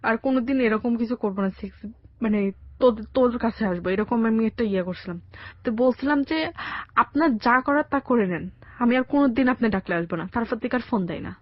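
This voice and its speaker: High-voiced young Bangladeshi woman. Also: fairly quick, dry recording, highs cut off. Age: young adult. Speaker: interview guest telling her life story. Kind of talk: personal account in colloquial conversation. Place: radio studio.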